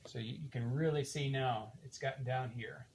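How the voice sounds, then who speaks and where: An older man talks through a practical demonstration in a casual, explanatory way. His delivery is unhurried and halting, as if thinking aloud while he works. A faint wash of room noise sits behind his medium-pitched voice. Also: fairly even intonation, a little mellow. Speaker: demonstrator of reel-to-reel tape machines.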